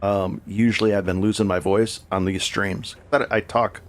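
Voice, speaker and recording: A man's voice: on the low side, flat delivery, moderate pace. A man chatting casually with viewers while he plays a game live, rambling and correcting himself as he goes. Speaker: game streamer. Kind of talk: casual live gameplay commentary.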